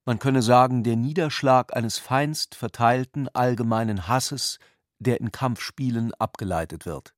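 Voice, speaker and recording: A man reading literary narration for a radio drama in a measured, articulate way. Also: medium pitch, dry recording, clean studio sound.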